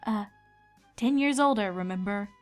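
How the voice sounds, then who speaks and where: A woman's voice: medium pitch, moderate pace, faint music behind, lightly acted. Snappy, grumbling pony princess acted by a woman. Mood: snappy, exasperated. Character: irritable alicorn pony princess, adult sister-in-law, snappy. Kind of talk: dramatized fan fiction reading.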